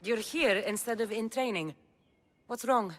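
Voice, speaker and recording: A woman's voice: on the high side, a little slow. A woman playing a bold, resolute young Spartan mercenary in a video game, blunt and assertive. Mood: curious. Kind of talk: dramatic video game acting.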